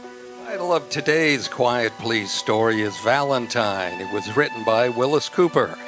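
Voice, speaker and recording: A male radio announcer with a mid-range voice reads from a script. His delivery is formal and measured, suited to credits and historical narration. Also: mid-range voice, expressive, music in the background.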